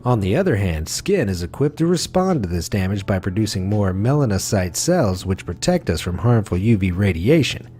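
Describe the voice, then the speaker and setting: A man reading a scripted facts video as narrator, with a smooth, wry delivery. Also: lowish voice, sing-song, moderate pace, hardly any pauses, mellow, faint music behind.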